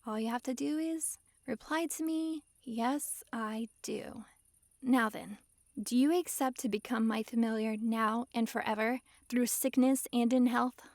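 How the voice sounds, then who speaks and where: A woman's voice: a little high, fairly even intonation, measured pace, dry recording, clean studio sound. A woman playing a possessive, lovestruck fox-girl mage in an intimate ASMR roleplay, sweetly controlling and giggly. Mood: hushed, solemn. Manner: sweetly controlling, giggly, swings between gushing and hushed coaxing. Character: possessive, lovestruck young-sounding fox-girl mage, dominant yet giddy.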